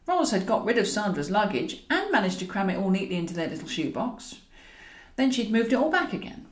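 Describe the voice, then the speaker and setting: A British woman narrating a comic novel in a wry, expressive way, as a scripted audiobook reading. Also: medium pitch. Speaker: author and narrator. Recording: roomy, echoing voice recording.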